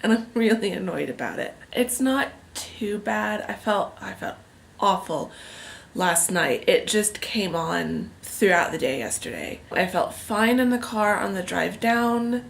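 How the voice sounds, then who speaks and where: A woman's voice: mid-range voice, expressive, unhurried, creaky. A woman vlogger chatting casually on camera with a head cold, sounding stuffed up and a little tired. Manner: chatty, rambling, self-deprecating. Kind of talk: casual vlog chat. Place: indoors at a family house, on a couch.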